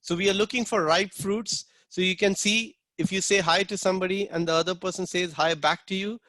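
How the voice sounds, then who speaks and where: A man's voice: high voice, fairly bright tone, no echo. A man teaching an online class, earnest and instructive, acting out short street dialogues as examples. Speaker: book distribution instructor.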